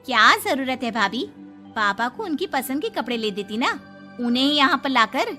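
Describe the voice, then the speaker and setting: A woman's voice: high-pitched, big pitch swings, normal speed, sharp tone, soft background music, heavily acted. A woman voicing a dismissive, brusque married sister-in-law in a family story. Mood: disapproving. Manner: brusque, disapproving.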